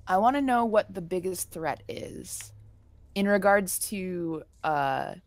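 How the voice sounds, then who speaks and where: Female tabletop player, slightly low voice with vocal fry, casual, tentative, thinking out loud. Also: expressive, takes it slowly, no echo. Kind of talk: casual game chat.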